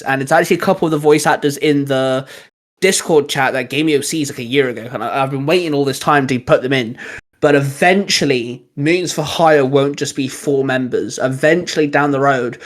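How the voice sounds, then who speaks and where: A man hosting a podcast in a casual, conversational style. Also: mid-range voice. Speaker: podcast host and audio drama writer. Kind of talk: casual chat.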